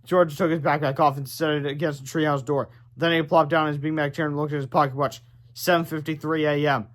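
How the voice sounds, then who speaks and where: A man reads a children's book aloud. His voice sits a bit higher than most men's as he narrates the story. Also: little pitch movement, quick pace. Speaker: storybook reader.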